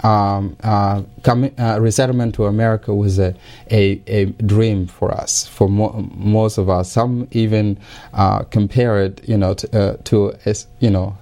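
A middle-aged man, not a native English speaker, giving careful and hesitant interview answers with many pauses and restarts. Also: fairly low pitch, little pitch movement, mellow. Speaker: interview guest.

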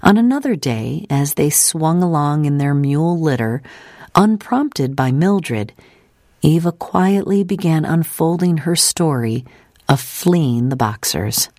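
A narrator reads a story in a measured, scripted audiobook style. The voice sits at a middling pitch. The recording is close and dry, with no sense of a room. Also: animated, darkish tone, dry recording.

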